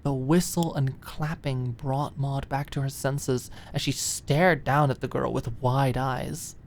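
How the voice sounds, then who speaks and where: Slow, low-pitched woman narrating drama. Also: fairly even intonation, darkish tone, a little hiss or hum. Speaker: audiobook narrator.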